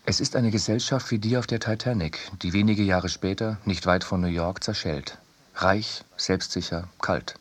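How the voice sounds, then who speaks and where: A man reading a scripted literary essay for radio in a measured, deliberate way. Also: sits low, steady tone. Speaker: reader in a radio production.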